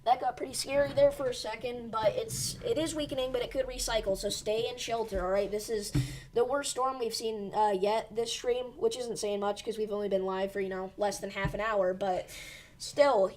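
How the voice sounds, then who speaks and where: A woman's voice: a little high, fairly even intonation, a little fast, a little mellow, poor audio. A woman hosting a weather livestream who is losing her voice, giving urgent but reassuring storm commentary with many fillers. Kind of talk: live storm-tracking commentary.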